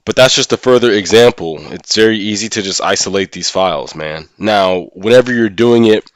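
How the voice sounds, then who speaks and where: A man with a fairly deep voice talks as a livestreamer in a casual discussion. He thinks aloud in an opinionated, conversational way, often checking in with his listeners. The sound is dry, with very little room around the voice. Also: moderate pace, dry recording. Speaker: live streamer and gaming content creator.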